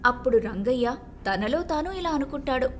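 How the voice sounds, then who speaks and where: A woman's voice: high voice, lively intonation, normal speed, noisy background. A woman narrating a scripted moral story in storybook style.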